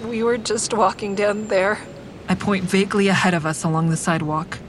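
A woman's voice: steady tone, lightly acted. Woman narrating as a guarded, grieving heroine. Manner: measured, introspective narration. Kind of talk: first-person audio drama narration. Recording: constant vehicle rumble behind.

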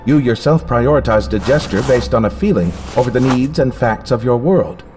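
A male artificial intelligence from a sci-fi radio play, acted by a man, speaks at a middling pitch with formal, emotionless precision. Faint music plays behind the measured, synthetic-sounding delivery. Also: animated, a little mellow, lightly acted. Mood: calm, factual. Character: calm, coldly logical male artificial intelligence computer. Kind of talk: science fiction audio drama acting.

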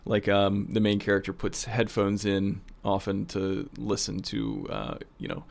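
A man's voice: lowish voice, measured pace, vocal fry, darkish tone. A man talking casually on a podcast as a producer and co-host. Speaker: audio drama producer, co-host.

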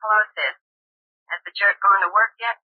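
A woman's voice: medium pitch, normal speed, no echo, natural voice. An actress playing a bossy, impatient female crook who gives curt, slangy orders in an old-time radio drama. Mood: scheming, impatient. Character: hard-boiled, bossy, impatient female crook, scornful sister. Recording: dull, band-limited old radio recording.

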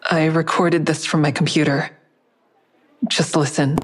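An actress playing a tired, insistent woman in an audio drama, blunt and earnest, with a husky voice. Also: deep voice, little pitch movement, measured pace. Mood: tense, insistent.

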